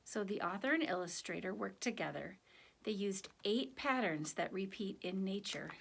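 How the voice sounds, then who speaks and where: A woman reading a children's picture book aloud, with deliberate, expressive phrasing and conversational asides to young listeners. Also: medium pitch, gravelly. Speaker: children's story reader.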